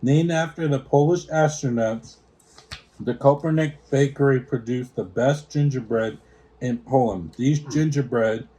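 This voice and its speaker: A man's voice: medium pitch, measured pace, warm tone, low-quality recording. A man hosting a casual snack taste-test, reading out product notes and stumbling over foreign names. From United States.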